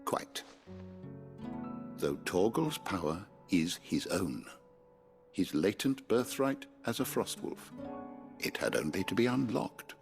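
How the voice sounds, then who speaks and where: A man's voice: sits low, very animated, takes it slowly, gravelly, pauses often, exaggerated voice. A man with a British accent playing a learned, genteel older scholar in a video game, speaking formally and wryly over music. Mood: pensive. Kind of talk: dramatic video game acting. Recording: music behind the voice.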